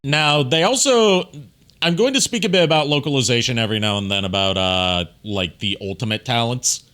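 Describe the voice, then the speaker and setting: A man's voice: medium pitch, fairly quick, fairly bright tone, soft background music. A man giving casual, chatty commentary as a streamer, often explaining things in asides. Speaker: streamer and voice actor commenting on a fan dub he was in.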